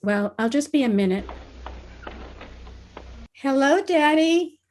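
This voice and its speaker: A woman's voice: sits high, expressive, takes it slowly, a little mellow, a little hiss or hum. A woman playing an eager, coaxing teenage daughter in an old-time radio comedy style. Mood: coaxing, earnest.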